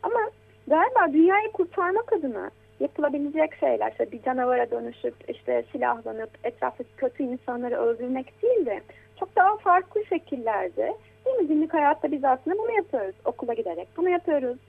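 High-pitched woman, tinny phone-like sound. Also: expressive, brisk, gravelly, no echo. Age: adult. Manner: encouraging, gently explanatory. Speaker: guest commentator on children's media. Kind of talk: conversational interview with a child. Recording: tinny, phone-like narrow band.

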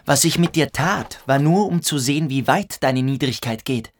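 Domineering young military school bully, scornful. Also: male voice, medium pitch, expressive, normal speed. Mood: scornful menace. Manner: commanding, scornful. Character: domineering, cruel cadet bully at a boys' military boarding school. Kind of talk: literary radio drama acting.

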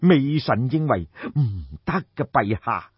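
Guy doing a solemn old court adviser, slow n husky, formal, respectful, audiobook style. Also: mid-range voice, big pitch swings, dry recording, highs cut off, heavily acted. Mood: earnest, cautioning. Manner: measured, formal, deliberate counsel. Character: grave old male royal minister, deferential, shrewd.

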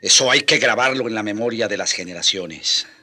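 An actor playing a grave, compassionate Spanish friar in a radio drama, solemn and often dropping to a murmur. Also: male voice, fairly low pitch, fairly quick, sharp tone, heavily acted. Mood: sorrowful, weary.

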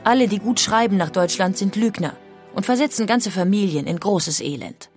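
A woman's voice: medium pitch, normal speed, faint music behind, natural voice. An actress playing an outspoken, critical emigrant woman of the 1800s, reading her letters home in a formal way. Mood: bitter, warning. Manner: formal, opinionated. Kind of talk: dramatic reading of historical letters.

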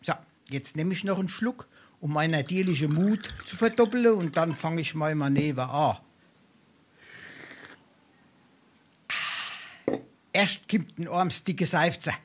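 A man's voice: on the high side, normal speed, close to an everyday voice. Brazen sponger and tippler for dialect comedy, male actor; Darmstadt accent, florid, theatrical, silver-tongued. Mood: coaching, sly. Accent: South Hessian, Darmstadt dialect. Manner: florid mock-pompous speech, theatrical sighs. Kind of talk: dialect stage comedy acting.

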